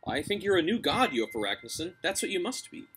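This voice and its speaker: A man voicing a brave, cunning young girl, coaxing and deferential as she flatters. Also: high voice, a little hiss or hum, pitched above the performer's own voice, rough recording. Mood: flattering. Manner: coaxing, deferential, quick-thinking.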